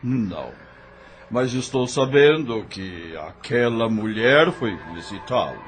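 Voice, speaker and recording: A man playing a grave, kindly helper. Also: expressive. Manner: slow, grave, low-spoken. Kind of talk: audio drama acting. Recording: faint music underneath.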